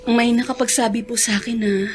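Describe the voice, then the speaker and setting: An actress playing an earnest, forgiving married daughter in a Filipino radio drama, with a Filipino accent. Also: female voice, on the high side, steady tone, a little fast, faint music behind, close to an everyday voice. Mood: apologetic, earnest.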